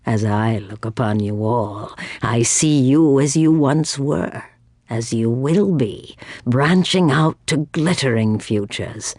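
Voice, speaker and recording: A woman's voice: a bass voice, takes it slowly, a little mellow, no echo, clean studio sound, full character voice. A woman playing a wise old seer in a fantasy video game, speaking in a solemn, mystical, portentous manner. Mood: solemn, prophetic.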